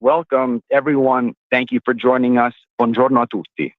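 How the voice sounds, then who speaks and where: A man from Italy, a guest speaking in a measured, slightly halting way. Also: mid-range voice, level tone, no room sound, phone-quality sound. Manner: measured, a bit halting, repeats words. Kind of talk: casual chat.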